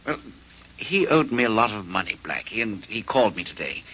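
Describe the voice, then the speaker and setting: An actor playing a hesitant, apologetic creditor executive in a 1940s radio drama, often stammering. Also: male voice, lowish voice, big pitch swings, fairly quick, fairly bright tone, husky, exaggerated voice. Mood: uneasy. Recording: dull lo-fi 1940s radio broadcast.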